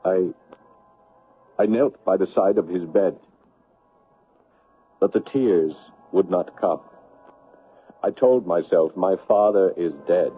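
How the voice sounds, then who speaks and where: An actor playing a grief-stricken, bitter son in a 1970s radio drama, halting and anguished as he repeats himself. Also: low voice, slightly rough, full character voice. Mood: somber confession. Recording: muffled, noisy 1970s radio broadcast.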